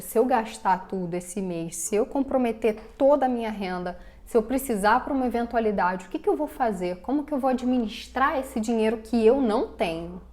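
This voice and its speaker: A woman's voice: fairly high pitch, a little mellow. A woman with a Brazilian Portuguese accent giving a direct-to-camera personal finance lesson, talking straight to the viewer and acting out the viewer's doubts. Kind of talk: direct-to-camera scripted explainer.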